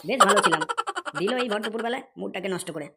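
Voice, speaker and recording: A woman's voice: mid-range voice, very fast, creaky, heavy background noise, natural voice. A woman voicing a stingy young man in a comedy cartoon who frets and grumbles with lots of swearing. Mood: grumbling. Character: stingy young man, fretful and short-tempered. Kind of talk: Bengali comedy cartoon dialogue.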